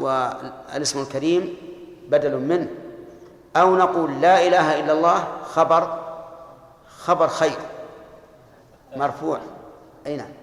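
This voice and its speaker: An older man teaching Arabic grammar in a measured lecture style, repeating phrases and putting questions to his students. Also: fairly high pitch. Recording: echoey hall recording.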